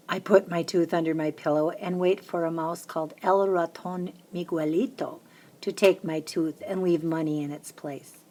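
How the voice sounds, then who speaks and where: A woman reading a children's picture book aloud, lifting her voice high and bright for the little chants. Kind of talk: storybook read-aloud.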